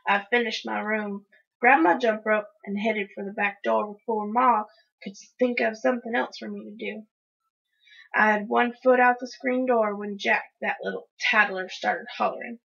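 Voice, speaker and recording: A woman's voice: medium pitch, steady tone, moderate pace, vocal fry, fairly bright tone, husky, muffled recording. A woman reading a children's story aloud, narrating expressively in the first person. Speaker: storybook reader.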